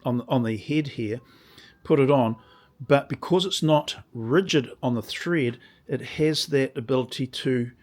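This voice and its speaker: A man with a slightly croaky voice, casually demonstrating a workshop project and often restarting his sentences as he explains. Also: mid-range voice, measured pace, darkish tone. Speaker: woodworking channel host.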